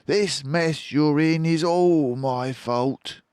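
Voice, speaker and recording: A man's voice: on the high side, drawn-out words, a little mellow, smooth, no echo, studio quality, pitched above the performer's own voice. A man voicing a confident, generous, scheming male fox for a children's storybook, grandly persuasive and savouring his words.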